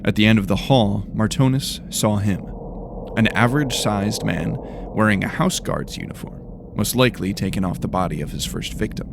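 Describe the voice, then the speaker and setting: A man's voice: lowish voice, moderate pace, gravelly, noisy background. A man narrating a scripted fantasy story in a dramatic storytelling style.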